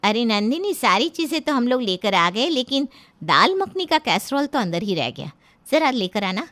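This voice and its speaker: Bright, high-pitched flustered mother played by a woman. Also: very animated, moderate pace. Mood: scolding, worried. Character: middle-aged Indian mother, flustered hostess, scolding.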